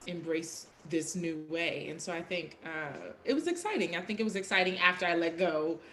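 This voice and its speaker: A woman with a low voice reflects casually on a panel, halting and repeating herself as she finds her words. There is faint noise behind her. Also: lively intonation, fairly bright tone. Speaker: theater artist on a panel. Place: at home on a video call.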